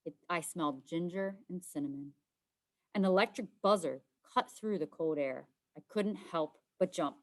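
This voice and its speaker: A woman's voice: lowish voice, moderate pace. A woman reading a children's storybook aloud as scripted narration, in the role of a teacher.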